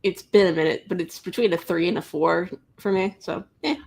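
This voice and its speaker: A woman with a husky voice co-hosting a casual book chat on a livestream. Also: fairly low pitch, brisk, darkish tone. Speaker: co-host of a book readalong livestream.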